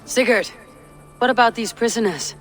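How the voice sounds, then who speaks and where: An actress playing a stern, battle-hardened female Viking raider in a video game, with a low, gruff voice and terse delivery. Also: moderate pace, faint music behind, natural voice, rough recording. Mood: resolute. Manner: terse, commanding. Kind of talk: dramatic video game acting.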